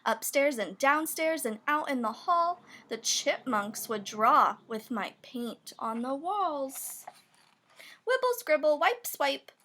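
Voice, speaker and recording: High, expressive woman reading a children's rhyme. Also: slow pace. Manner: expressive, playful emphasis on sound words. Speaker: storybook reader. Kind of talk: children's picture book read-aloud, rhyming verse.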